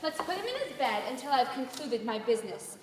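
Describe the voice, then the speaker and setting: A woman's voice: high-pitched, lively intonation, a little fast, noisy background. An actress playing a greedy, scheming stepmother in a stage play, with a scornful delivery. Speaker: stage actor. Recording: echoey hall from far off.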